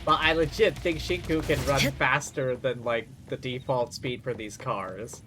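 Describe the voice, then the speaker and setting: A man's voice: medium pitch, measured pace, noisy background. A man chatting casually as he streams a video game, commenting on what he does. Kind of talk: casual play-by-play chat while gaming.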